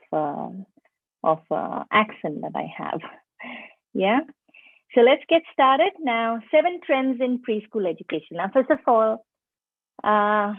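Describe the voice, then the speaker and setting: A woman's voice: fairly high pitch, no room sound. A middle-aged woman from India with a mixed Indian and Indonesian accent, giving a friendly online presentation to teachers and often checking in with her listeners. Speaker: preschool teacher trainer. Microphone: video call. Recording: dry, phone-like call audio.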